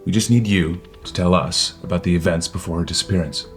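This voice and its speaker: Police detective, deep male voice, calmly probing and formal read by a man at a table read. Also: fast talker, faint music behind, natural voice. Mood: placating.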